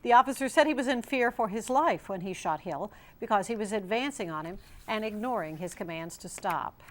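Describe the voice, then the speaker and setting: A woman's voice: medium pitch, animated, brisk, a little hiss or hum. A woman anchoring a television newscast, reading scripted stories in a formal news delivery.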